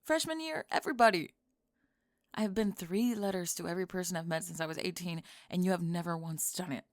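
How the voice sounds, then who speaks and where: A young woman playing a sharp-tongued, defensive goth college student who is secretly smitten, in an ASMR roleplay, speaking in halting, clipped, emphatic phrases. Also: fairly low pitch, no echo, studio quality, close to an everyday voice. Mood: intense, exasperated. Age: young adult. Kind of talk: ASMR roleplay monologue, intimate audio drama.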